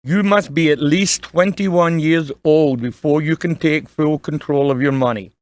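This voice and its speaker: Man playing a kindly father, higher-pitched, dry. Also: a little slow, no room sound, close to an everyday voice. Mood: kindly, serious. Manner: earnest, gentle, solemn instruction. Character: kindly, earnest dying father advising his young son. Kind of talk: audio drama acting.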